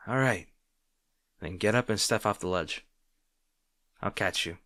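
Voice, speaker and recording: A low-pitched male supervillain rival acted by a man in an intimate audio roleplay. He is sarcastic and exasperated, yet softly protective, with halting delivery. The sound is dry and close. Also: no room sound. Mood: exasperated, insistent. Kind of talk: intimate audio roleplay acting addressed to the listener.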